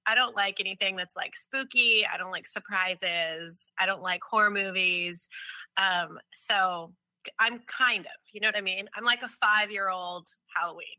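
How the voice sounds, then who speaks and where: A woman's voice: mid-range voice, moderate pace, sharp tone, no room sound, poor audio. A woman in her thirties co-hosting a podcast in casual chat. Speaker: podcast co-host.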